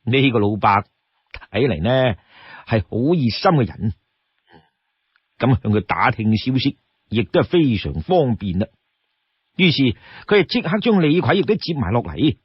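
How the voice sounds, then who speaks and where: A man with a Cantonese accent narrating a classic tale as an expressive storyteller. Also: mid-range voice, moderate pace, pauses often, slightly rough, no echo, muffled recording.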